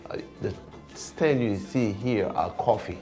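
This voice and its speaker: A man's voice: lowish voice, measured pace, mellow, faint music behind. A man with a Senegalese accent talking informally in an interview as an artist, often repeating words and phrases. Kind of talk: casual interview talk.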